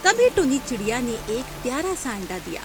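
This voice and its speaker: A woman narrating a children's cartoon story in a clear, storytelling cadence. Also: a little high, big pitch swings, normal speed, background noise.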